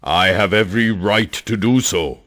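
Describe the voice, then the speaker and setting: A man voicing a stern, angry old male mountain who talks in a scolding, booming way. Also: very animated, takes it slowly, heavily acted.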